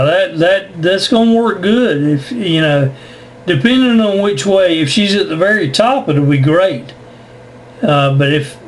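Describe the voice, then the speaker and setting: A man with an American accent chats casually as a radio operator. His voice sits slightly high and moves at a slow pace, circling back over words. There is faint noise on the recording. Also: rough recording. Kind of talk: casual on-air radio chat.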